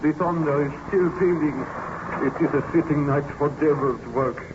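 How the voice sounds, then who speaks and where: A man's voice: a little high, moderate pace, natural voice. An actor playing a solemn, earnest, foreboding male friend in an old radio serial. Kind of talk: 1930s-40s radio serial acting. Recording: muffled, noisy old radio recording.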